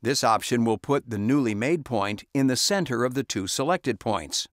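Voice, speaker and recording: A man reading a scripted step-by-step software tutorial as a voice-over, calm and instructional. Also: mid-range voice, moderate pace, dry recording, clean studio sound.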